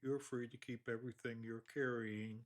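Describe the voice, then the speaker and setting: A man leading a guided meditation, speaking slowly and calmly with long pauses. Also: medium pitch. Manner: slow, calm, measured delivery with long pauses.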